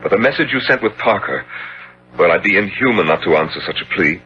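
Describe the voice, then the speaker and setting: A dull, faintly hissy 1970s radio recording features a stern, reluctant gentleman. The voice is a little low but swings widely with incredulity. His manner is brusque, formal and indignant. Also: lively intonation, fast. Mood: curt, reproachful. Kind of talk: 1970s radio drama acting.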